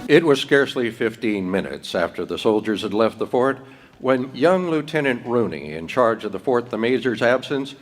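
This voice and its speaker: A man giving scripted old-time radio drama narration in a measured, dramatic way. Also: mid-range voice, animated, faint music behind.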